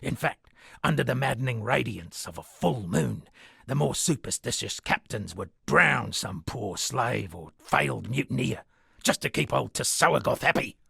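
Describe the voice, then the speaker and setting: An actor voicing an eccentric washed-up sea captain and would-be poet in a video game, gravelly and husky, with theatrical, rambling, florid delivery. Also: male voice, mid-range voice, animated, measured pace, bright, forceful tone, no echo, studio quality, exaggerated voice. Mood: ominous, wry. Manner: theatrical, rambling, florid old seafaring turns of phrase. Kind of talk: video game character dialogue.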